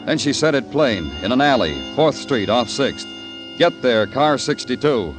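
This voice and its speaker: An actor playing a world-weary city police detective in 1950s radio noir style, terse, wry and brooding. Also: male voice, mid-range voice, animated, unhurried, lightly acted. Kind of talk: 1950s radio noir acting, hardboiled narration. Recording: old radio recording with music.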